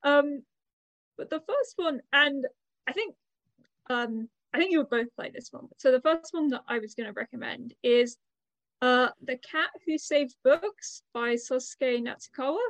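A woman's voice: high-pitched, measured pace, dry recording. A woman co-hosting a podcast, chatting casually about books in a rambling way. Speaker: podcast co-host. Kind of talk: casual book chat.

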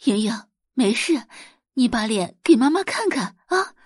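Woman acting a devoted, expressive middle-aged mother. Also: a little high, a little slow, slightly rough, no echo, clean studio sound, voice pushed up, exaggerated voice. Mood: soothing. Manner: soothing, emotional.

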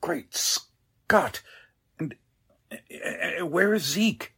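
A man acting a mild, well-meaning, easily flustered doctor in a 1940s-style radio drama, husky and hesitant. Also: fairly high pitch, lively intonation, extremely slow, sharp tone, heavily acted. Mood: dazed, shaken.

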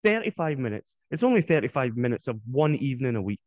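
Lively Scottish man, slightly dull audio. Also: medium pitch, fast talker, no echo. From Scotland. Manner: hesitant, self-conscious, lively. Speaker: podcast co-host. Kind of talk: casual chat. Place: home office.

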